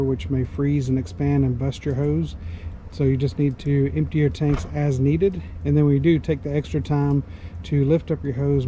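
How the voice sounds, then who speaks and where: Easygoing Southern man, flat delivery. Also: mid-range voice, a little hiss or hum. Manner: easygoing, plain-spoken, with frequent uh fillers. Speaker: RV vlogger. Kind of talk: casual how-to tips. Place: outdoors at a snowy campground and inside an RV.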